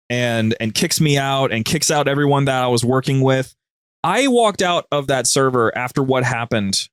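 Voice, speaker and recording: A man with a mid-pitched voice tells stories as a podcast guest. He is informal and conversational, wandering through his anecdotes. The sound is dry, with little room around it. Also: no room sound. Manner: rambling, informal, conversational storytelling. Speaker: podcast guest, show producer. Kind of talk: casual anecdote-telling in an interview.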